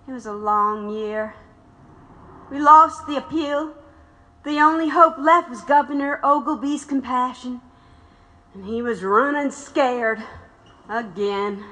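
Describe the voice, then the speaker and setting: An actress playing a grieving, defiant wife of a condemned radical, narrating with bitter reflection. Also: female voice, a little high, slow pace, long pauses, bright, forceful tone, low-quality recording. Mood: reflective. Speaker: narrator and widow of a condemned man. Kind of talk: audio drama narration and acting.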